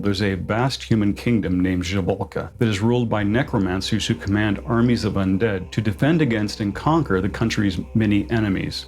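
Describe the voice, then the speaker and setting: A man's voice: fairly low pitch, gravelly, darkish tone. A man hosting a channel, reading a scripted explainer as narration. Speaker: host of a tabletop RPG lore channel.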